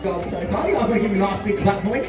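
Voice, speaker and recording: A man's voice: high voice, rapid-fire, very noisy. A young man giving a chatty live demonstration talk to a crowd at an outdoor farm paddock, often asking "all right?". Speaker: farm dog handler. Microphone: distant handheld camera. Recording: distant, echoey, with loud music and crowd.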